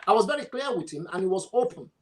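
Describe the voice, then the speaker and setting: Male clergy panellist, slightly high pitch, conversational recounting. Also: expressive, quick pace. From Africa, Nigeria. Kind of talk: panel discussion, recounting experience.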